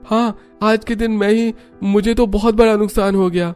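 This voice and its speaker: A man's voice: sits high, little pitch movement, normal speed, darkish tone, clean tone, soft background music, pitched above the performer's own voice, lightly acted. A man voicing an aggrieved young village truck driver in a cartoon story, pleading and complaining. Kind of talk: Hindi cartoon story dialogue.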